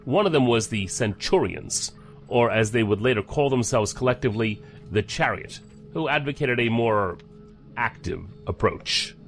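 An actor playing a measured, knowledgeable investigator in an audio drama, explaining things deliberately. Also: male voice, mid-range voice, animated, soft background music, natural voice. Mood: measured, explanatory. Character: male investigator briefing colleagues on a cult, measured, knowledgeable.

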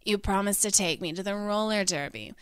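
A woman plays an impatient, pushy girlfriend in a comic audio drama. Her voice is crisp and medium in pitch. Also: fairly quick, fairly bright tone, close to an everyday voice.

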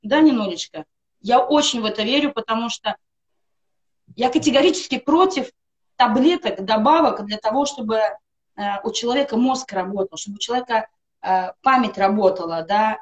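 A woman's voice: a little high, normal speed, highs cut off. A middle-aged woman giving an earnest personal testimonial on a video call from home, piling up emphatic lists. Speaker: guest on a live stream. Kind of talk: personal testimonial for a wellness product. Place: home room, indoors.

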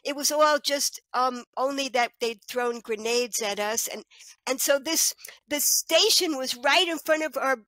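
Middle-aged woman on a headset call, high voice, dry close sound, talks with her hands. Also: measured pace, dry recording, rough recording. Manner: animated, gestures a lot, lots of "you know". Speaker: guest, children's author. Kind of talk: casual video call chat. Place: small room at home. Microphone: headset mic on a video call.

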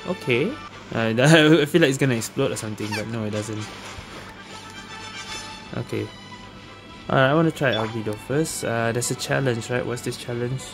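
A man's voice: medium pitch, moderate pace, pauses often. A man giving casual gameplay commentary as a gaming host, dropping colloquial tags like lah and eh. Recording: game music behind the voice. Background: video game music.

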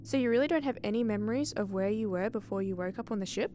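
A woman playing a kind, protective spaceship crew member in an audio drama, talking in a gentle, reassuring and chatty way. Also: medium pitch, quick pace, slight background noise, natural voice. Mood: curious.

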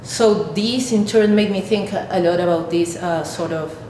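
A woman in her thirties from Peru with a Peruvian Spanish accent, an artist explaining her work haltingly, searching for words. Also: mellow. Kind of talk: explaining own artwork to camera. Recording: echoey room, clear rumbling background noise.

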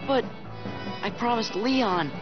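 A spirited teenage hero in a video game, earnest and headstrong. The voice is high and boyish. It sounds slightly dull, with faint music. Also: male voice, slow. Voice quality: youthful, boyish.

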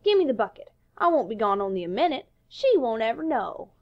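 Casting: man playing a scheming boy, high and lively, coaxing, dull lo-fi sound. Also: a little fast, exaggerated voice. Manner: coaxing, wheedling. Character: eager scheming young boy.

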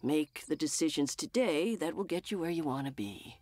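An actress playing a folksy, plain-spoken older aunt in a radio drama. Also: female voice, deep voice, animated, moderate pace, a little mellow. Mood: calm, grave. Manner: folksy, unruffled, sighing.

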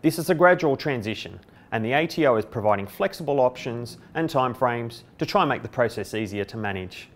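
A man's voice: medium pitch, animated, brisk, few pauses. A middle-aged man with an Australian accent, delivering a scripted explainer to camera as a company presenter. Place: indoor office by a window. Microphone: lapel mic.

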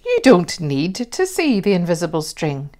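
A woman voicing a loving, reassuring young mother of twins in a children's storybook, gently and with emphasis. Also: lowish voice, wildly swooping pitch, normal speed. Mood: tender.

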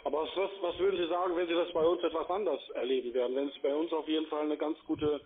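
Husky phone salesman, muffled line. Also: a little high, steady tone, very fast. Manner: wordy, repetitive, insistent. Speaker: telephone sales agent. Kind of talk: cold-call sales pitch. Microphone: telephone.

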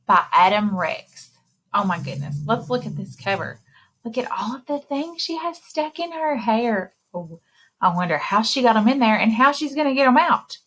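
A woman in her thirties reading a picture book aloud to children in an expressive, exclaiming way, speaking directly to her young listeners, with a slightly husky voice. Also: medium pitch, low-quality recording. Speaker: children's librarian and story time reader. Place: indoor room, recorded screen share with webcam.

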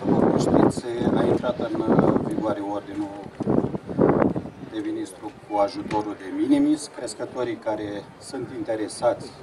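A man speaking haltingly as an official making announcements in a public speech at an outdoor event. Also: fairly low pitch, steady tone. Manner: halting delivery. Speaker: chief inspector. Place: open-air fairground stage. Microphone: distant camera, speech over loudspeakers. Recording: very noisy distant outdoor event recording.

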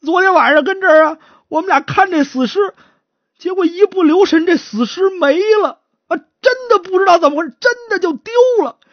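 A woman's voice: high-pitched, sing-song, moderate pace, no echo, muffled recording, voice pushed up. A woman storyteller playing a servile, chattering male yamen guard who pleads in a whining, stammering way. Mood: fearful confessing.

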